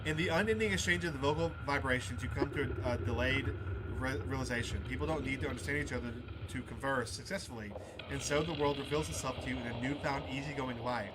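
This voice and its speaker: A man hosting a gaming video, reading game dialogue aloud and musing between lines in a halting, casual way. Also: mid-range voice. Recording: clear background noise under the voice.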